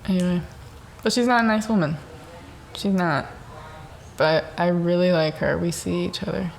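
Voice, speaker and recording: A young woman with a fairly low voice talks on her own show. She rambles and mocks herself, jumping from one thought to the next. She is at home in a dark apartment. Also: moderate pace, gravelly, a little hiss or hum. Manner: rambling, self-mocking, jumps between thoughts. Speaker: podcast host. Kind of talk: rambling solo self-reflection monologue.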